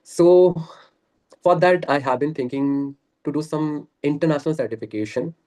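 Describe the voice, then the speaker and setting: A man's voice: fairly high pitch, normal speed, warm tone. A man talking earnestly and at length on a video call, laughing often. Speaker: guest. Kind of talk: casual conversation practice.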